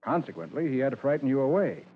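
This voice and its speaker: A man plays an assured detective in a vintage radio mystery. His delivery is very lively and methodical, on a dull recording with background hiss. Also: medium pitch, quick pace, close to an everyday voice. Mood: assured, explanatory. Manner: assured, explanatory. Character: confident, methodical male private detective. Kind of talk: old-time radio drama acting.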